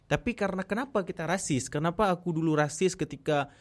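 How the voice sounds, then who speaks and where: A young man from Aceh speaks with a marked Acehnese accent in relaxed podcast conversation. His voice sits a little high for a man. He talks earnestly and circles back over his points, repeating key phrases. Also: fast. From Aceh, Indonesia. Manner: earnest, repeats himself for emphasis. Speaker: podcast guest, traveler.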